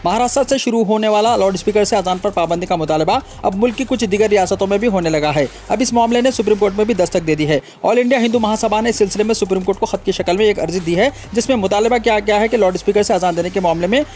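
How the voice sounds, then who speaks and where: A man's voice: high-pitched, fast, hardly any pauses, a little mellow, slight background noise. A man reading scripted news in a formal register, announcing headlines and reports as a presenter. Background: background music.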